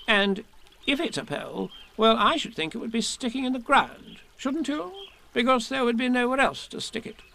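A man's voice: very high voice, lively intonation, normal speed, creaky, sharp tone, a little hiss or hum, voice pushed up, heavily acted. A man reading a storybook aloud, voicing a fussy, self-important male rabbit with a pompous manner.

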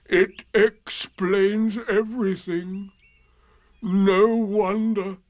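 A man voicing a gloomy old grey donkey from a storybook, with a mournful, drawn-out, heavily resigned delivery. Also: high-pitched, voice pushed up, heavily acted.

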